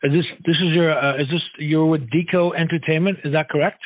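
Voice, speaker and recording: A man hosting a casual radio interview, haltingly forming his questions and repeating words as he goes. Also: a little high, fairly even intonation, no echo. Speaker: radio show host, interviewer. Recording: narrow, dull phone-like sound.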